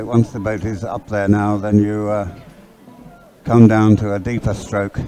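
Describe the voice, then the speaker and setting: A man giving live race commentary, explaining things in a halting way. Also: fairly low pitch, dark tone, low-quality recording. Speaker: sports commentator.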